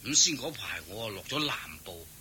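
An actor playing an excitable, pious father and household master in a Hong Kong Cantonese radio drama, exclaiming and questioning. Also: lowish voice, moderate pace, slight background noise. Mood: amazed.

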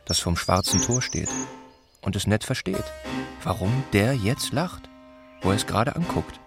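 A brooding villager tells of home in a literary radio play. The voice sits low and moves in measured, poetic fragments, with music clearly behind it. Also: male voice, animated. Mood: brooding, wry. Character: brooding village man narrating his rural home.

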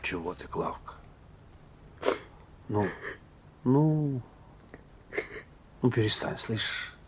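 A man's voice: medium pitch, expressive, drawn-out words, slight background noise. A male actor in a radio play as a sullen, wry young thief, curt and slangy, on a muffled recording. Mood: gruff, tender. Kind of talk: Russian radio play acting.

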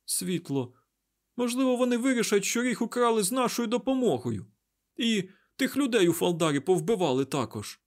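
A man's voice: fairly high pitch, animated, moderate pace, darkish tone, voice pushed up. A man voicing a panicky, hot-tempered young man in an audiobook, agitated, sputtering and exclamatory. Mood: panicked, angry.

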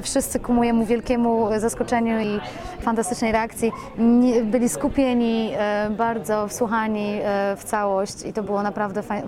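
A woman giving a spontaneous interview as a producer, effusive and rambling, often correcting herself mid-sentence. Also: on the high side. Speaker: radio play producer being interviewed. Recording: busy crowd chatter behind voice. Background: crowd at a public event.

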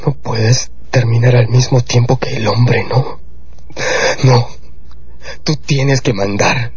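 A man plays a bitter lover in a radio drama, mid-pitched and very slow, each phrase cold and reproachful. The recording is dull, with noise behind him. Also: steady tone, darkish tone, lightly acted. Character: cold, resentful male lover, cutting. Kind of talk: Spanish-language radio drama acting.

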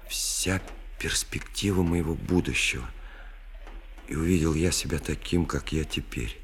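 An actor playing a lonely, melancholy dreamer, narrating his story in a reflective, wistful literary radio drama style. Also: rumbling low. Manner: reflective, wistful, breaking into whispers.

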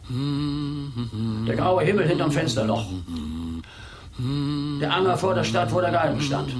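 A man's voice: on the high side, lightly acted. An actor playing a man who recites fragments of his soldier's life in clipped, staccato phrases in a German radio play.

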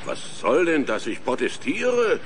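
An actor playing a haughty thief who protests pompously when cornered, in a 1970s children's radio play. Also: male voice, on the high side, huge pitch swings, unhurried. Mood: indignant. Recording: slightly noisy 1970s vinyl recording.

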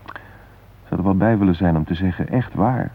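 Frightened, husky hostage father, phone-like sound. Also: low voice, full character voice. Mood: wry, afraid. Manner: reflective, halting, wryly self-mocking. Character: frightened hostage father, musing, vulnerable, wry. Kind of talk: radio drama interior monologue and dialogue. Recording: muffled phone-like band.

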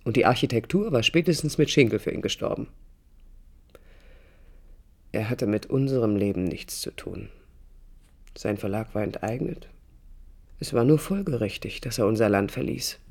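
An actress playing a disillusioned young woman architect in a radio drama, recalling her life in a low, husky, intimate monologue. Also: darkish tone. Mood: resigned. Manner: reflective, intimate, literary.

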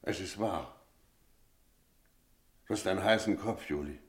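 An actor playing a sober village innkeeper who tells an eerie tale in a measured, reflective radio drama style. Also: male voice, low voice, husky, no room sound. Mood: puzzled, brusque. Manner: measured, reflective, literary storytelling. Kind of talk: radio drama narration and dialogue.

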